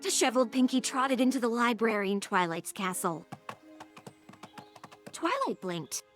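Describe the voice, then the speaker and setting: A woman's voice: on the high side, lively intonation, a little slow, soft background music. A woman narrating a scripted story as the narrator of an audio drama. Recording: clearly noisy background.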